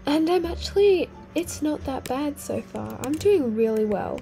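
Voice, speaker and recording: A teenage girl with a slightly croaky, sick-sounding voice, chatting casually over her gameplay and exclaiming at things she finds. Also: high voice, lively intonation, measured pace, mellow. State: croaky voice from a cold. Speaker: gaming video host. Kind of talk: casual game commentary. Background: faint game music.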